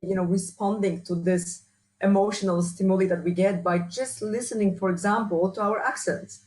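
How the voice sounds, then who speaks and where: A woman with a strong Polish accent answering interview questions as a researcher and trainer, often restarting and filling pauses. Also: mid-range voice, fairly even intonation, a little mellow, poor audio. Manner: hesitant, many restarts and fillers. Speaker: workshop speaker, researcher.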